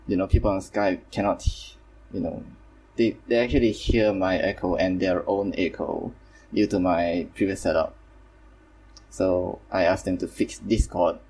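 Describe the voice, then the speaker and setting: A man's voice: deep voice, vocal fry, darkish tone, distant mic, rough recording. A man hosting a casual online group chat, talking hesitantly with many fillers and apologies. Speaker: host.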